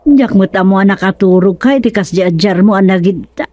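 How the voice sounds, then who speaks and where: A woman's voice: mid-range voice, fairly quick, warm tone, no room sound, natural voice. A woman playing a skeptical, bickering ghost companion in an Ilocano radio comedy, exclaiming and teasing with an Ilocano accent. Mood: complaining.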